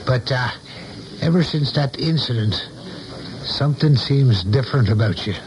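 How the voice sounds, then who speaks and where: An actor in a 1970s radio play, playing an older saloon keeper who is folksy, shrewd and philosophical with a loyal friend. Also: male voice, mid-range voice, animated, moderate pace, a crowd in the background, natural voice. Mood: folksy, probing. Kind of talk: 1970s radio drama acting. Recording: dull vintage radio broadcast, music behind.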